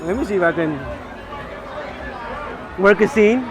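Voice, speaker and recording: A man's voice: high-pitched, a little slow. A young man chatting casually and playfully in slang while out as a street photographer. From New York. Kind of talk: casual chat while out shooting. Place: outdoors at a busy urban beachside boardwalk. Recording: busy outdoor crowd and street noise.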